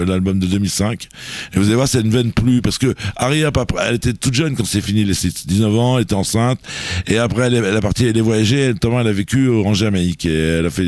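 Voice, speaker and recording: A man with a low voice chats loosely through his picks on a studio radio show. He wanders, restarts and fills gaps with hesitations. The sound is dry, with no room around him. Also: a little mellow, no room sound. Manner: rambling, hesitant, many fillers and restarts. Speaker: music radio show guest presenter. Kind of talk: casual radio chat about music history.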